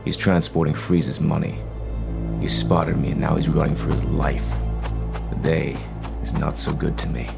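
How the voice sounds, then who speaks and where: A man playing a grim, exhausted masked vigilante with a whispery, gravelly growl, sick and brooding, in an audio drama. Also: very deep voice, fairly even intonation, exaggerated voice. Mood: brooding, weary. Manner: slow, terse, brooding, menacing. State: sick, coughing. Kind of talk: audio drama acting with noir narration. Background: music.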